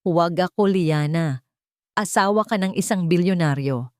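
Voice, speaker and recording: A woman's voice: fairly low pitch, moderate pace, no room sound, close to an everyday voice. A menacing blackmailer of an ex-boyfriend played by a woman in an audio romance drama. He is smug and taunting, pressing his threats with desperate greed. The sound is dry and close. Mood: demanding.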